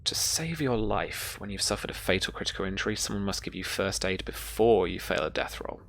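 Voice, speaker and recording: A woman narrating a tabletop roleplaying game as game master, reading out rules and thinking aloud in a halting way. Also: rumbling low, expressive, vocal fry, a little hiss or hum.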